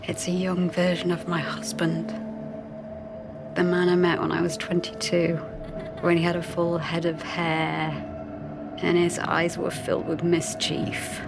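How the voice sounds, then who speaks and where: A woman's voice: on the low side, monotone, unhurried, gravelly, music in the background. An actress playing a wistful married woman in her forties in an audio drama, speaking in a hesitant, confiding, intimate way. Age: 40s. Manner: hesitant, confiding, self-conscious.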